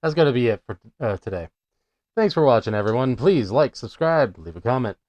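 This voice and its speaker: A man's voice: medium pitch, lively intonation, fairly quick, darkish tone, highs cut off. A man hosting a let's play, giving casual, musing commentary as he goes.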